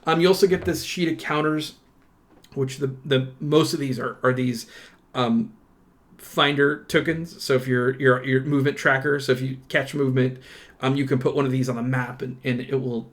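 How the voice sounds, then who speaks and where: A man's voice: medium pitch, steady tone, normal speed. Casual, enthusiastic man chatting through an unboxing. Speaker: host of a tabletop game unboxing channel. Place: indoors at a tabletop.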